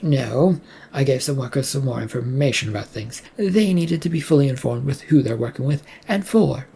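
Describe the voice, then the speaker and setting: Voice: mid-range voice, expressive, moderate pace, creaky, dark tone, breathy edge, full character voice. A narrator voicing a defiant, dismissive female company boss with a curt, defensive manner, in an audio story.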